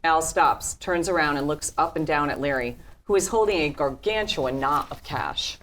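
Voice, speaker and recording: A woman's voice: low voice, moderate pace, fairly bright tone. A woman reading scripted stage directions as narrator at a live table read.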